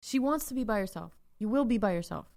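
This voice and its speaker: A woman's voice: medium pitch, animated, fast, gravelly, a little mellow. A woman in casual chat, blunt and swearing, mocking others in sugary voices.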